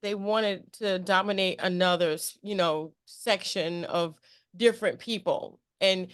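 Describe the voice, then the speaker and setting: A woman hosting a casual interview, expressive and emphatic, often repeating phrases to drive a point home. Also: fairly low pitch, unhurried, clear, resonant tone, dry recording, studio quality. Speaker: interview show host. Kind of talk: casual chat.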